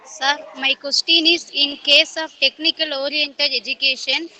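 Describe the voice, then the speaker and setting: High-voiced woman asking a polite question. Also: fairly even intonation, normal speed, bright, forceful tone, rough recording. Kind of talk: formal question.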